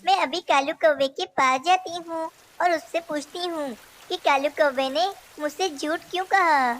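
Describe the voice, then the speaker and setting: A little female cartoon bird voiced by a woman talks in a high, earnest voice. She is trusting, plaintive and quick to get upset. A faint hiss runs underneath. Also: fairly bright tone. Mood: indignant. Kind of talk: Hindi children's cartoon dialogue.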